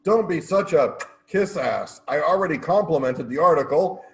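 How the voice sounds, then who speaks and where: Man as blunt newspaper boss, high-pitched and lively, stagey comedy delivery. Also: normal speed. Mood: blunt, urging. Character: brusque male newspaper editor, blunt boss. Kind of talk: stagey comedy play acting.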